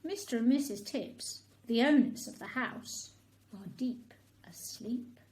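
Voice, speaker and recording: A woman narrating a children's bedtime story in an expressive storytelling style. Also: fairly high pitch, slow, in a whisper.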